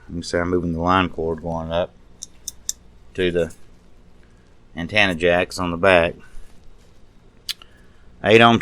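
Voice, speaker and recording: Low-voiced man talking through a hands-on repair. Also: a bit bright. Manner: unscripted, muttering to self while working. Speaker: radio restoration hobbyist, presenter. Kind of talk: casual hands-on restoration walkthrough.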